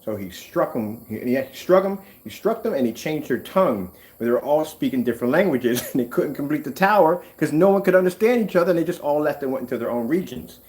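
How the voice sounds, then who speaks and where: A middle-aged man chatting casually while working in a workshop, wandering off topic with animated bursts. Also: a little high, a little fast, few pauses, poor audio. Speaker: screen paint maker.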